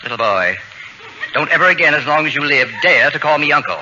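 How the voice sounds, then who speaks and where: An actor playing a haughty, pedantic babysitter with pompous, precise diction in 1940s radio comedy style. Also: male voice, fairly high pitch, expressive, fairly quick, close to an everyday voice. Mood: stern, imperious. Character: haughty, pedantic, imperious male live-in babysitter. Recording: scratchy, dull old-time radio recording with hiss.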